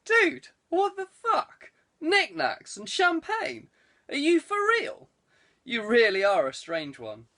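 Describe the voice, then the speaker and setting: A man's voice: very high-pitched, wildly swooping pitch, slow pace, sharp tone, voice pushed up, exaggerated voice. A man voicing a wry, teasing saboteur given to sardonic banter in an audiobook. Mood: incredulous. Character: wry, teasing male saboteur, ribbing his friend.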